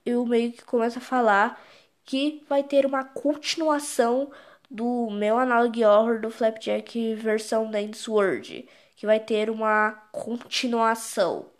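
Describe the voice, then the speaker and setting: A woman with a Brazilian accent giving a casual, unscripted recap commentary, rambling and repeating as the words are searched for. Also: fairly high pitch. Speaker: video creator explaining own animated horror episode.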